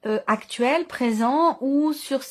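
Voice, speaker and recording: High-pitched woman giving a tarot reading. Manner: halting, searching for words, repeats herself. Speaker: tarot reader. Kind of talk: intuitive tarot reading to camera, unscripted.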